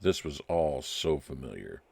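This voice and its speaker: A man playing a wry, nostalgic private detective, narrating his case deliberately in old time radio style. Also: very deep voice, sing-song, vocal fry, a little mellow, breathy edge, no echo, heavily acted. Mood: reflective. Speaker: narrator and lead actor.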